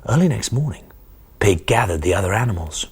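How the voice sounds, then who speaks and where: A man in his forties reading a children's bedtime story expressively, with dramatic, hushed emphasis. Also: on the low side, big pitch swings, a little fast, breathy edge. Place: small TV studio kitchen set, indoors. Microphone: lapel mic.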